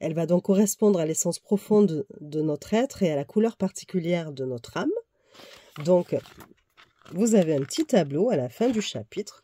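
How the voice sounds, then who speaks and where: A woman's voice: lowish voice, dark tone. A woman with a head cold, speaking slightly through her nose, chatting casually as she reviews a tarot deck and reads passages aloud, with fillers and self-corrections. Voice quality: slightly nasal. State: congested, has a cold.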